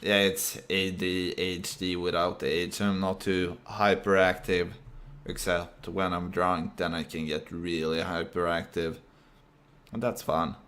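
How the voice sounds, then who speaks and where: A man speaking English as a second language in a casual, unscripted monologue, halting with fillers and restarts, with a deep voice. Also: flat delivery, fairly bright tone. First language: not English. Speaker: vlogger.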